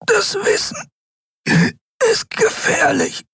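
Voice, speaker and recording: Strangled, high-voiced choking captive. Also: male voice, very animated, fairly bright tone, slightly rough, no room sound, studio quality, exaggerated voice. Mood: desperate pleading. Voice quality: thin, strangled, breathless. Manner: halting, broken phrases. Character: choking captive man, gasping and pleading desperately.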